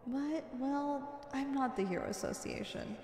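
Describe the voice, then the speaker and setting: A woman's voice: sits high, a little slow, darkish tone. A woman playing a flirty, confident female supervillain in an ASMR roleplay, coy and teasing as she sweet-talks the listener. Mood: amused, flirty. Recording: echoey with long reverb.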